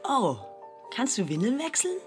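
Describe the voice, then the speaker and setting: An actress playing a lively, affectionate young lover in a naturalistic German radio drama, with a playful, teasing manner. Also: female voice, a little high, measured pace, faint music behind. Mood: curious, teasing.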